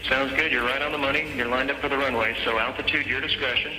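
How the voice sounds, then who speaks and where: A man working as an air traffic controller gives calm, procedural, reassuring instructions to a pilot over the radio. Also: medium pitch, fast talker. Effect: radio transmission. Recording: echoey radio recording with music underneath.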